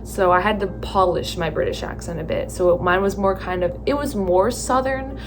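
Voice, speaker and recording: A tired young woman from California with a Californian accent, talking slowly and thoughtfully about her stage work, with many fillers and restarts. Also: mid-range voice, background noise. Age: young adult. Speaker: theatre student vlogger. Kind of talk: casual reflective talk to camera.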